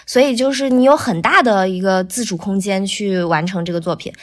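A woman's voice: mid-range voice, fairly quick. A woman speaking casually as a voice actor guest on a podcast, explaining things and often rephrasing herself as she goes.

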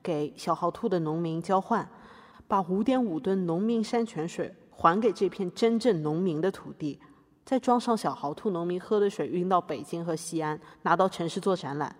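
A woman's voice: fairly low pitch, moderate pace, darkish tone, big-room sound, natural voice. A woman playing an earnest, defiant activist on trial, declaiming in a podcast courtroom drama. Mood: earnest defiance.

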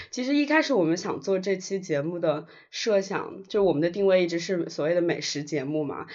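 A woman's voice: on the low side, a little mellow, lo-fi sound. A woman co-hosting a podcast, explaining things in casual conversation. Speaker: podcast co-host.

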